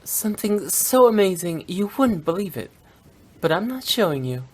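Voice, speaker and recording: A woman's voice: mid-range voice, big pitch swings, moderate pace, noisy background, natural voice. A woman playing a young female funeral director in a video game, playful yet blunt and unsentimental, speaking in a frank, matter-of-fact way. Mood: teasing. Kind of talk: scripted English video game voice acting.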